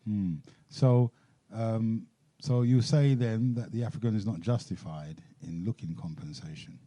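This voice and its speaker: A man hosting a radio talk show with a deep, very mellow, dark tone of voice. He questions and pushes back in a measured way, often halting and restarting mid-sentence. The sound is close and dry. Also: dry recording.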